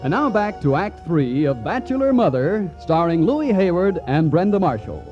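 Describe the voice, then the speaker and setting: A man's voice: high voice, big pitch swings, normal speed, over music. A man working as a commercial announcer, reading a scripted 1940s radio advertisement in an earnest, emphatic way. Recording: muffled 1940s radio recording.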